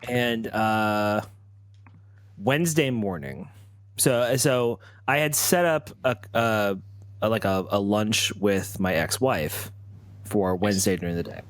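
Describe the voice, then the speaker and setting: Low-voiced man telling a story haltingly. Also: no room sound. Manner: halting, often repeating words. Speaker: tabletop role-player. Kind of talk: improvised in-character storytelling in first person.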